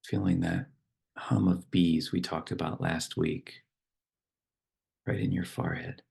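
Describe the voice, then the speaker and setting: A man leading a guided meditation and reading verse, speaking slowly and softly with long pauses. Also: low-pitched, sing-song, vocal fry, husky. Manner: slow, unhurried, soft instruction with long pauses. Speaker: meditation teacher.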